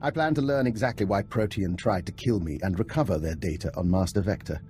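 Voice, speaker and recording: An actor playing a coolly calculating, self-assured doctor in a video game, speaking in precise, formal, detached phrases. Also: male voice, low-pitched, a little fast, mellow, faint music behind, natural voice. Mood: coolly secretive.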